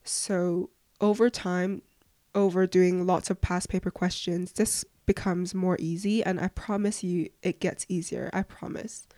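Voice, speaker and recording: A young woman with a voice croaky from flu, giving casual, earnest advice as a podcast host answering questions. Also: mid-range voice, darkish tone, no echo, clean studio sound. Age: young adult.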